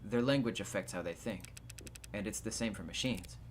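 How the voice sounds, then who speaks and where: A man's voice: lowish voice, moderate pace, a little hiss or hum, natural voice. A man voicing a nervous, evasive tech worker in video game dialogue, explaining himself in a hesitant, defensive manner. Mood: explanatory.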